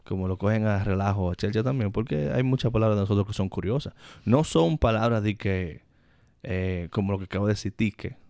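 Low, mellow Dominican man chatting. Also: brisk, clear, resonant tone, no echo, clean studio sound. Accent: Dominican Spanish. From Dominican Republic. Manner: informal, slangy, repeats words as he goes. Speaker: podcast co-host. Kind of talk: casual conversational chat.

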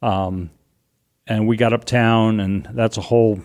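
A middle-aged man with a loud voice, telling a personal story as an interview guest, animated and vivid, acting out what people said. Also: on the low side, unhurried. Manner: vivid, animated retelling with acted-out quotes.

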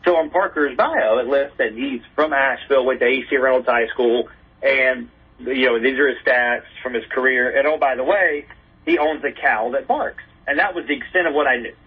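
Husky American man on a phone-like line. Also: medium pitch, normal speed. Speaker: baseball radio play-by-play announcer, podcast guest. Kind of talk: casual anecdotal interview chat. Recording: narrow, telephone-like call sound.

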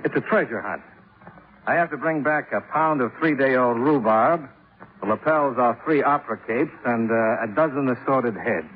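An actor playing a wry, hard-boiled, unflappable private investigator in deadpan 1950s radio noir style. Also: male voice, medium pitch, normal speed, lightly acted. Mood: wisecracking. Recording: tinny old radio recording, music behind.

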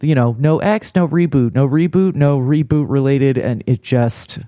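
A man's voice: medium pitch, moderate pace, warm tone. A man co-hosting a casual TV recap, with a critical, wry, digressive way of talking. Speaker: podcast co-host.

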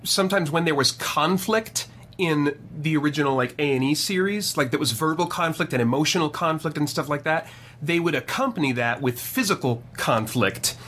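A man's voice: fairly high pitch, expressive, normal speed, a bit bright, slight background noise. A man hosting a podcast, interviewing casually with informal, rambling phrasing.

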